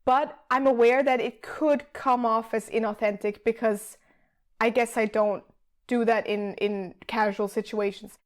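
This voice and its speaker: A woman's voice: a little high, steady tone, a little slow. A woman from Austria, a PhD student, chatting casually as a podcast guest and thinking aloud with many fillers. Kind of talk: casual interview chat.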